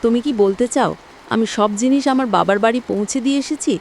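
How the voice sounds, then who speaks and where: A woman as a nagging village wife, highish voice. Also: fast talker, darkish tone, slight background noise, close to an everyday voice. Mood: scolding. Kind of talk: animated cartoon dialogue.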